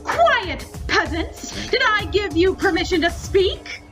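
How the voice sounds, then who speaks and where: A woman's voice: very high voice, big pitch swings, slow pace, over music, pitched above the performer's own voice, full character voice. A woman voicing a bossy, gleefully evil, self-important female mastermind, commanding and theatrical, in a story reading. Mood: imperious.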